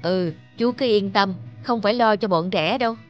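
A woman playing a kindly middle-aged neighbour who minds children in an animated tale, gentle and reassuring. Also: medium pitch, moderate pace, highs cut off, natural voice. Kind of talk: animated moral-tale voice acting. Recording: music under the voice.

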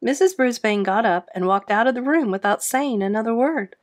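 A woman narrating a children's book aloud in an expressive storybook style. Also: fairly high pitch, brisk.

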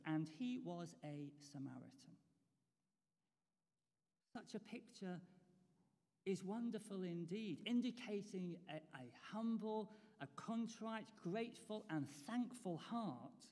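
A man preaching a sermon in a church, earnest and measured, with pauses and small fillers. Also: high-pitched, a little mellow, in a large hall.

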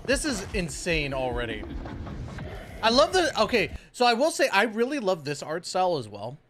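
A man in his thirties giving excited, slangy, casual reaction commentary as a streamer, close on the mic. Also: high-pitched, moderate pace, background noise. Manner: animated, exclamatory, slangy. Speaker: reaction video host, streamer. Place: small indoor home room with shelves. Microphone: close microphone on boom arm.

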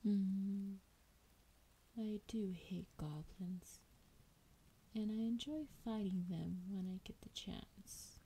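A woman voicing a sultry, menacing female dragon in a breathy, whispered fantasy roleplay, teasing and drawing out her words. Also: mid-range voice, warm tone, heavily acted. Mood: flirtatious, playful. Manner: slow, teasing, drawn-out delivery.

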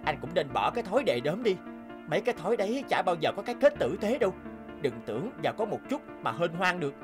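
A man's voice: sits high, very animated, fast, over music. A man voicing an upright, studious younger brother in a cartoon, earnest and indignant as he reproaches. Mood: reproachful. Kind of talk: animated moral tale dialogue.

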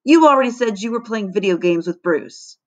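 A woman's voice: medium pitch, animated, a little fast, muffled recording, natural voice. A woman voicing a scornful, know-it-all fourth-grade boy in a storybook, scolding with a snort.

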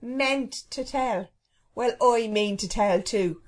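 A woman's voice: a little high, slow pace. A woman voicing a fierce, indignant household cook in a children's storybook, scolding in blustering, colloquial speech. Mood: furious, scolding.

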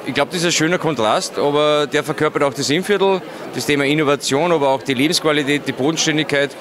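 A man's voice: on the high side, fast talker, background noise. An Austrian man in his forties giving a casual interview at a handheld microphone in a foyer. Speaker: interviewee, friend of the author. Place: large busy indoor foyer. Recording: busy crowd chatter behind.

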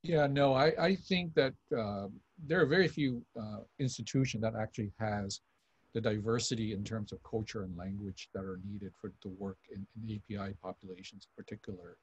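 A man's voice: lowish voice, fairly quick, breathy edge, lo-fi sound. An older man, a physician, speaking insistently on a video panel. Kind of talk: panel discussion. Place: room with shoji screen. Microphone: video call.

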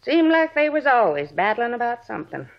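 An American actress playing a gossipy, plain-spoken middle-aged married neighbor in a 1950s radio drama. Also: female voice, fairly high pitch, sing-song, a little fast, gravelly. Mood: gossipy, suspicious. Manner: folksy, colloquial, gossipy. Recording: dull vintage radio recording.

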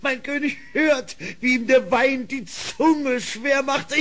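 An actor playing a malicious royal minister in a German audio drama, fawning, sneering and theatrical. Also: male voice, extremely high pitch, takes it slowly, fairly bright tone, full character voice. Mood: gleeful spite. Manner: obsequious to his master, spiteful, theatrical.

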